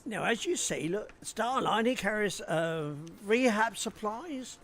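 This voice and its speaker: An actor playing a hesitant, evasive middle-aged shipping manager in an amateur radio drama. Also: male voice, sits high, animated, slow, fairly bright tone, slight background noise, heavily acted.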